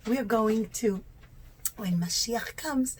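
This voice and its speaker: A woman with a high voice speaks as a storyteller and religious teacher for young children. She keeps her wording simple and her delivery expressive, leaning on key words and stretching them out. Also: slow pace, husky.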